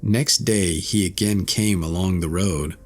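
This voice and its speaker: A man narrates an old fairy tale in a fairly low voice. His reading is scripted and measured, in the manner of an audiobook storyteller, with music present.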